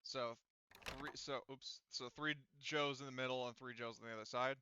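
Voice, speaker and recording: A man runs a casual tabletop roleplaying game as game master. His voice is crisp and forward, with a halting way of talking as he confirms details. The sound is dry and a little muffled. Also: medium pitch, fairly even intonation, bright, forceful tone, no echo. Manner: halting, checking details back with players.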